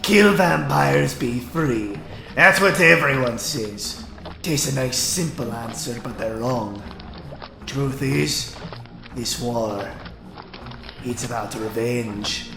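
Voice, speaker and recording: Gravelly, gruff old vampire hunter. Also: male voice, mid-range voice, takes it slowly. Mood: grim. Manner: coarse rustic dialect, scornful, emphatic. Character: gruff, bitter older male vampire hunter. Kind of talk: fantasy audio drama acting. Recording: echoey, music underneath. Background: music.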